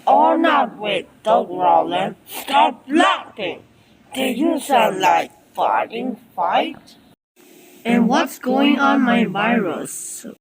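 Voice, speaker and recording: Erratic slow exclaiming voice in heavy noise. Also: medium pitch, big pitch swings. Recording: very noisy home-made capture.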